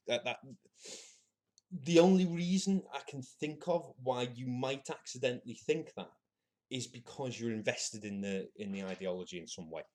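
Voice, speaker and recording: A man, possibly, hosting a solo podcast and thinking out loud in a halting, earnest way, with frequent restarts and filler words. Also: medium pitch. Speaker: podcast host. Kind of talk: solo opinion monologue.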